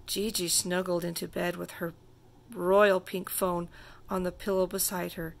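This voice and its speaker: A woman narrating a children's picture book aloud in an expressive, deliberate manner. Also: fairly low pitch, gravelly. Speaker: storybook reader.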